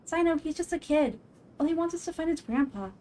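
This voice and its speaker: Woman voicing a high-pitched little fairy companion. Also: brisk, dark tone, slight background noise. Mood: pleading. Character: small excitable fairy companion, female, childlike and caring. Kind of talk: video game dialogue read-along.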